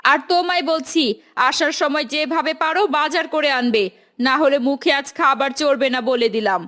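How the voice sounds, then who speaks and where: A woman's voice: sits high, moderate pace, pushed and bright. A woman voicing a nagging, domineering aunt in a children's cartoon, bossy and scolding. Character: nagging, domineering aunt, sharp-tongued wife.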